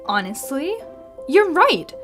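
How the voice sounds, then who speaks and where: A woman's voice: sits high, sing-song, takes it slowly, over music, heavily acted. A woman acting in an audio drama as a shy, sweet secretary with a mischievous, quirky streak.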